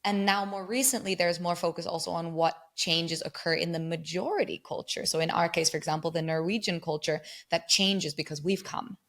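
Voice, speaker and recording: A woman's voice: lowish voice, fairly even intonation, a little fast, hardly any pauses, fairly bright tone. A woman from England and Germany, a guest researcher, explaining ideas step by step in a thoughtful conversational way. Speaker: guest researcher on migrant mental health.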